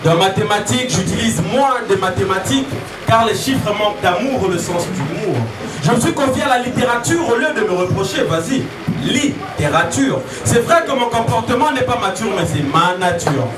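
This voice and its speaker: A man's voice: high-pitched, lively intonation, normal speed. A man performing slam poetry in a declamatory, rhyming, rhythmic delivery. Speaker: slam poet. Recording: echoey live stage, distant mic, music behind. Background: music.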